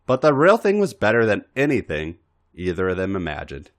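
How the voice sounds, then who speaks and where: A man reading a story aloud as expressive, scripted podcast narration. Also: low-pitched, brisk.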